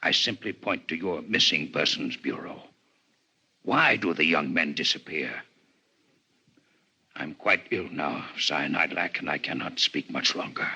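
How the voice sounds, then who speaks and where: Deep, husky alien doctor, grave and formal. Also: male voice, sharp tone, heavily acted. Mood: grave, insistent, then weakened, urgent. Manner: formal, measured, lecturing. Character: grave alien physician, weakened, coldly intellectual, formal. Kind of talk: 1950s radio drama acting. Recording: 1950s radio broadcast recording.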